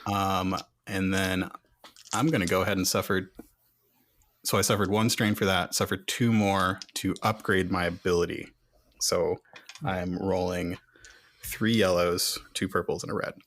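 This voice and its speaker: A man's voice: low-pitched, little pitch movement, a little slow, a bit bright. A man playing a tabletop roleplaying game, chatting casually and narrating his character's moves.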